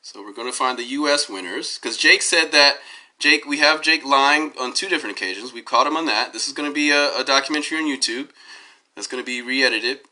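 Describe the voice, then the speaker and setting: A hoarse man with a cold, rambling through a self-recorded phone commentary with lots of fillers and restarts while he clicks through web pages. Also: medium pitch, fairly quick, pushed and bright, poor audio. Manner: tangential, many fillers and restarts. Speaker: DJ giving his side of a dispute. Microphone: phone held up at arm's length.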